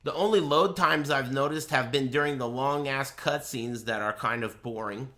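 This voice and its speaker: Sardonic, bright-voiced male game streamer. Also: mid-range voice, normal speed. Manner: sardonic, profane, offhand. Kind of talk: casual live gameplay commentary.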